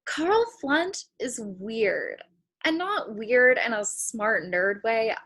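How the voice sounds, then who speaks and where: A woman's voice: on the high side, animated, slow pace, a bit bright, full character voice. A woman playing an idealistic, stubborn young girl in a comedy play reading, emphatic and indignant. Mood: skeptical. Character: idealistic, stubborn young girl meeting her future selves. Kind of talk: comedy play read aloud.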